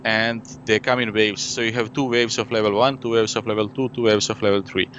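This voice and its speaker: Board game designer, male, French as first language, lowish voice, rambling explanatory chat, dull lo-fi audio with light hiss. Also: flat delivery, brisk, a bit bright. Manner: explains at length, with many fillers and "you know". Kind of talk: casual interview conversation. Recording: dull lo-fi audio with faint noise.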